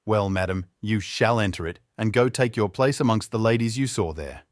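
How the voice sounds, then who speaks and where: A man's voice: lowish voice, moderate pace, no echo, clean studio sound, natural voice. A man voicing a fearsome, merciless husband in a fairy tale, with imperious, archaic and formal speech. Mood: cold fury. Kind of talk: storybook character voice in narrated fairy tale.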